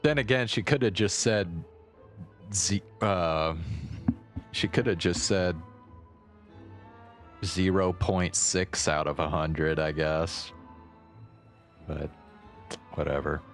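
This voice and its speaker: A man's voice: low voice, slow, pauses often. A man giving casual, laid-back, slangy commentary while streaming a game. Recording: faint game music behind.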